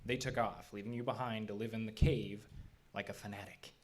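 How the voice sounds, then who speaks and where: An actor playing a skeptical, watchful park ranger with a dry, authoritative manner in an audio drama. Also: male voice, lowish voice, fairly even intonation, brisk, close to an everyday voice. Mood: stern, accusing.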